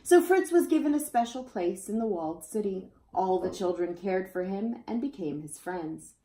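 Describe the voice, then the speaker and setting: A woman's voice: mid-range voice, lively intonation, moderate pace, mellow. A woman reading a children's picture book aloud expressively, as storyteller to young listeners. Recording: roomy, echoey indoor sound.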